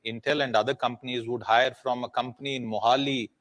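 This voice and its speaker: An Indian-accented man moderates a live conference panel. He speaks haltingly at a middle pitch. He frames his remarks as questions to audience and guests. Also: fairly even intonation, fairly bright tone.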